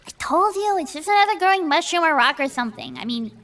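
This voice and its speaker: Bright, very animated high-voiced young-sounding woman. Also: fast. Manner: excitable, slangy, impulsive delivery. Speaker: player. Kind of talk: in-character tabletop role-play.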